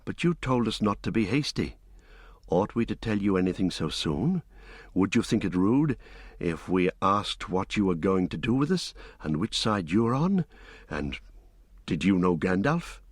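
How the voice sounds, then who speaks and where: A man's voice: sits low, very animated, normal speed, breathy edge, dry recording, clean studio sound, full character voice. A man reading a polite, earnest young hobbit.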